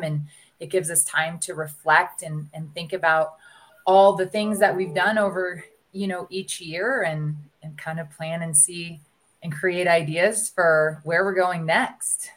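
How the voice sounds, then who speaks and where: A woman speaking as a panel guest, hesitant and full of fillers as she thinks aloud. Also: on the low side. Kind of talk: panel discussion.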